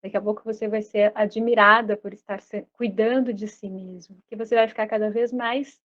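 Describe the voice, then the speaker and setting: A woman hosting a live conversation, speaking gently and reflectively, often checking in with "né?". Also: medium pitch, steady tone, brisk, muffled recording. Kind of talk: casual chat.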